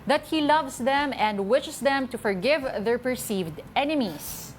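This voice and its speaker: A woman's voice: high-pitched, animated, a little slow, soft background music. A woman with a Filipino accent co-hosting a news talk show, reading reports and commenting on them casually. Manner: conversational, switches between reading items and commenting. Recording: clearly noisy background ambience.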